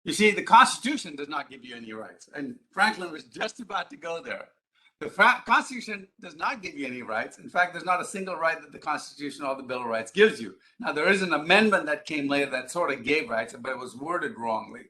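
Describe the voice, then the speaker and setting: A man's voice: a little high, animated, fairly quick, sharp tone. A man from Ghana and the Middle East speaking to an audience in an explanatory, emphatic way, often posing rhetorical questions. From Ghana, Middle East and East Africa. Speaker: public speaker, advocacy group president. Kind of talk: live lecture to an audience.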